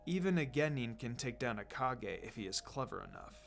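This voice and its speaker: A narrator voicing a calm, lazy male ninja teacher who instructs in a measured, low-key way. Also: gravelly, faint music behind. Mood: calm, instructive.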